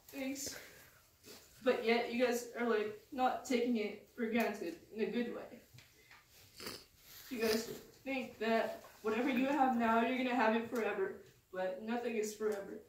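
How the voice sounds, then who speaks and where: Teen girl giving a talk, slow and hesitant, echoey room, faint hiss. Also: on the high side, steady tone, distant mic. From Philippines. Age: teens. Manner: halting, hesitant, with many restarts. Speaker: student speaker. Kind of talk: personal testimony speech. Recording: echoey room, mic from afar, faint noise.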